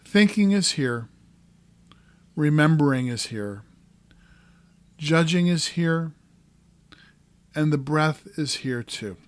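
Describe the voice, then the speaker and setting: A man narrating a guided meditation as a coach, giving calm, gentle instructions in short, measured phrases. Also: a little high, no echo.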